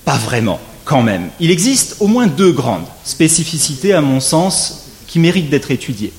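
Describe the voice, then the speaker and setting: Measured man giving a formal academic talk. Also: a little high. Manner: measured, wry asides, lively rising emphasis. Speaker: legal scholar giving a conference talk.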